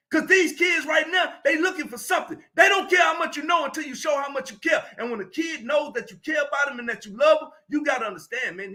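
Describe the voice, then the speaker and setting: A man, speaking in a very high, piercingly bright voice. He gives an emphatic, preachy motivational talk on a live stream. He often raises his voice to drive his points home. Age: 40s.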